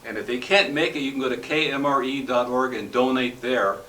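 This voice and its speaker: Bright-voiced man interviewing in a roomy space. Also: medium pitch, fairly quick. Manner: attentive, short interjections and simple questions. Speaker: interviewer, host. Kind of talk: casual interview chat. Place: radio station studio. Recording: roomy, distant mic, faint noise.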